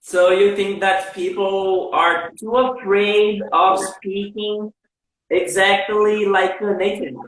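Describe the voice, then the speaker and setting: A man hosting an interview in English, not his first language, asking questions slowly and with restarts. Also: high voice, fairly even intonation, fairly bright tone, heavy reverb, poor audio. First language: Portuguese. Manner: slow, deliberate questions with restarts. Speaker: host, English teacher. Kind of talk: casual interview.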